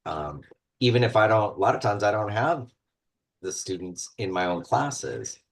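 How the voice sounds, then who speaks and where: Man, very low voice, instructor on a panel, casual and thoughtful. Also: lively intonation, moderate pace. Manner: conversational, thoughtful, many fillers. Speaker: university instructor. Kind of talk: panel discussion.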